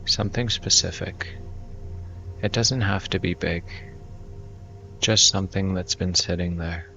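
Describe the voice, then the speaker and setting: A man with a very low voice leads a gentle guided meditation. He speaks very slowly and softly, in a conversational way. Music plays behind his slightly muffled recording. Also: steady tone.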